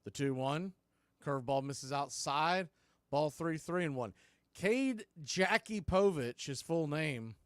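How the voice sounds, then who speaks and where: A man's voice: fairly high pitch, dry recording. A man giving live baseball play-by-play commentary in the style of a radio broadcast.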